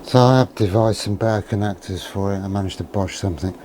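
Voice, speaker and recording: A man with a fairly low, very mellow voice talks through a hands-on demonstration. He is understated and musing, often asking himself questions as he thinks aloud. There is some faint noise behind him. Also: fast talker. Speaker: electronics hobbyist presenter.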